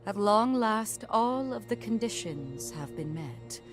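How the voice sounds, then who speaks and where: An actress voicing a solemn, commanding leader in a video game, speaking formally and gravely. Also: female voice, medium pitch, lively intonation, slow. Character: solemn, commanding female leader of a fateful mission. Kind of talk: video game cutscene acting. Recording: clear music bed underneath.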